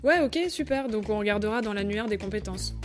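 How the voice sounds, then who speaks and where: A woman's voice: medium pitch, a little fast, soft background music, natural voice. A woman playing a keen, agreeable learner in a workshop, in an audio drama. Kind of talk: audio fiction dialogue.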